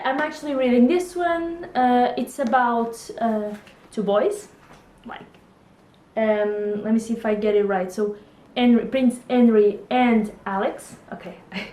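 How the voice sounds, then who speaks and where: A woman's voice: on the high side, darkish tone, roomy sound. A woman in her twenties from Portugal with a Portuguese accent, chatting casually to camera about books, laughing often and hesitating with fillers. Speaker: book vlogger.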